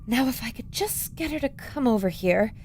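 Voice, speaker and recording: A woman's voice: on the high side, animated, normal speed, darkish tone, a little hiss or hum. A woman playing a plucky adventurer reporter in an audio drama, confiding urgently to her listeners. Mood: furtive, excited. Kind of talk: audio drama acting, narrating into a recorder.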